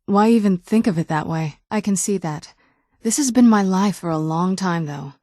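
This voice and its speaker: A woman's voice: medium pitch, moderate pace, mellow, no room sound, close to an everyday voice. A woman playing a youthful-seeming ancient vampire noblewoman in a video game, wry and guarded, with a dry conversational delivery. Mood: sardonic, defensive. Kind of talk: video game character dialogue.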